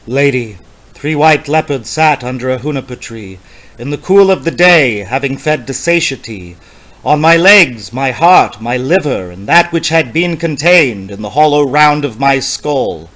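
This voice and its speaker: A man giving a dramatic poetry reading in a solemn, deliberate, theatrical and incantatory manner. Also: medium pitch, expressive, fairly bright tone, rough recording. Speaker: poetry reader.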